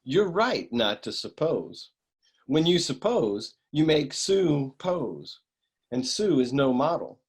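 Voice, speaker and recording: A man playing a cynical, down-on-his-luck private eye in a humorous noir radio play, world-weary and grumbling, with a husky voice. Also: fairly high pitch, big pitch swings, takes it slowly, exaggerated voice. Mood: deadpan grumbling.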